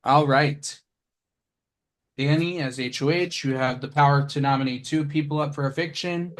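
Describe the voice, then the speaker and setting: A man's voice: fairly high pitch, fairly even intonation, moderate pace. A man hosting an online game over a video call, playful and prone to trailing off. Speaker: game show host.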